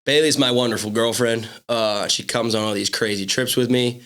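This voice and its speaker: A man's voice: mid-range voice, steady tone, normal speed, fairly bright tone, clean tone. A man of thirty from Pennsylvania, a laid-back podcast guest who keeps saying "dude". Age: 30s. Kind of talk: casual podcast chat.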